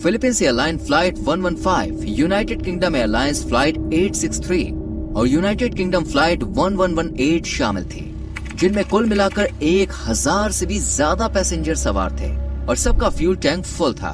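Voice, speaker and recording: A man narrates a scripted documentary with drama. His lively, fairly high voice rises and falls a lot. It sounds slightly distant, with music clearly behind it. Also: moderate pace.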